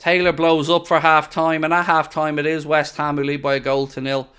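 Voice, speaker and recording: A man's voice: fairly high pitch, little pitch movement, fairly quick, fairly bright tone, clear, resonant tone. A man hosting a live football watchalong, ranting in exasperated, sarcastic reaction to his team's play. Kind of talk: live match commentary and reaction.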